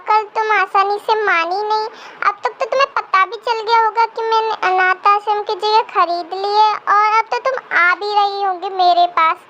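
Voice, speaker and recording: A woman voicing a cold, vengeful, domineering businessman with a slow, commanding manner. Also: very high-pitched, bright, forceful tone, dull audio, a little hiss or hum, full character voice.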